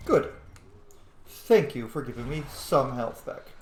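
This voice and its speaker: A man's voice: medium pitch, lively intonation, takes it slowly, a little mellow. A man giving casual, playful gameplay commentary, swearing now and then and chatting to the game's characters. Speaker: streamer playing and commenting on a video game. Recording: roomy echo with background noise.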